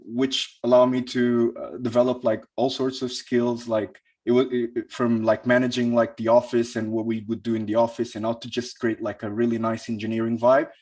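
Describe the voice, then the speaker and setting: A young man from Portugal giving a conference talk about his career, speaking in a hesitant way with lots of pauses and restarts. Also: medium pitch, darkish tone. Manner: hesitant, many fillers and restarts.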